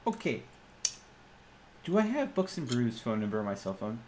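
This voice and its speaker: A man's voice: medium pitch, big pitch swings, normal speed, a little mellow, slight background noise. A man improvising in character during a tabletop roleplaying game, speaking hesitantly and often repeating or restarting his phrases.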